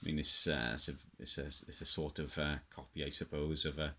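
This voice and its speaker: Deep-voiced South Welsh man, rambling casually. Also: level tone, brisk, sharp tone, slight background noise. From Wales. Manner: hesitant, rambling, reminiscing. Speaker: radio hobbyist presenter. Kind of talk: casual unscripted show-and-tell.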